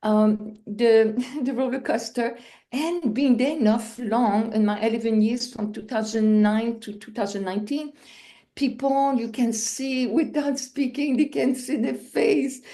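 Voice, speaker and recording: A woman's voice: fairly high pitch, unhurried. A middle-aged woman from Quebec, a native French speaker with a Quebec French accent, telling spiritual stories in an interview with laughs and acted-out scenes. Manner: animated storyteller, acts out scenes with laughs and cries. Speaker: guest.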